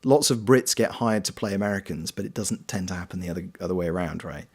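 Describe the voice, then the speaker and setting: A man's voice: low voice, fast talker, husky. A British man streaming a game, chatting casually and reading viewers' comments aloud, greeting them by name. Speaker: streamer and voice actor.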